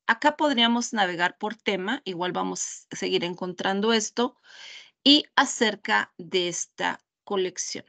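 A woman with a Mexican Spanish accent leading a webinar over a video call, walking through a website step by step in a deliberate, pausing way. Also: medium pitch, a bit bright. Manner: slow, deliberate, pausing often. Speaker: webinar trainer. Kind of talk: screen-share product demonstration.

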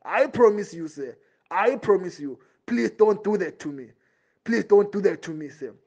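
A man's voice: sits high, lively intonation, moderate pace, darkish tone. A man playing a servile, desperate henchman in an amateur audio drama, deferential and repeating himself. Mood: desperate pleading. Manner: pleading, repetitive, deferential. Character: servile, desperate henchman grovelling to his boss.

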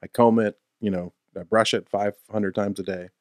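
An easygoing young man with a very deep voice talks as a guest on a comedy podcast. His delivery is dry and relaxed, picked up close on a studio mic. The small room sounds dead and dry. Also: moderate pace, no echo. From Arizona. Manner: laid-back, dry, easygoing. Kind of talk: casual comedic chat. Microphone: studio mic on boom arm.

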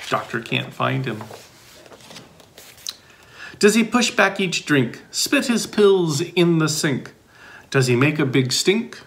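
A man's voice: fairly high pitch, a bit bright. A middle-aged man reading a children's picture book aloud expressively, with sing-song questions and playful asides. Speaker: volunteer storybook reader.

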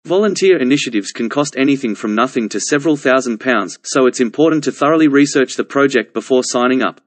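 A man giving a scripted read-aloud for English exam practice, with measured, carefully enunciated delivery. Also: medium pitch, no echo. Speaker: narrator of model answers.

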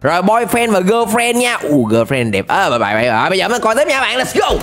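Young man, southern Vietnamese accent, high-pitched, very lively intonation, jokey reaction host. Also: brisk. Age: young adult. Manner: exclamatory, jokey, with English interjections. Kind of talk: playful reaction commentary. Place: small indoor streaming setup. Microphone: close desk microphone. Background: music.

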